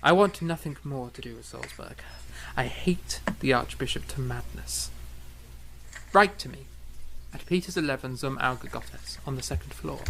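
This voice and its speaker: A man's voice: medium pitch, normal speed, creaky, a bit bright, a little hiss or hum, rough recording. A man playing a proud, fiery young eighteenth-century composer in a period audio drama, speaking formally and emphatically with theatrical flair. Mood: bitter, hushed.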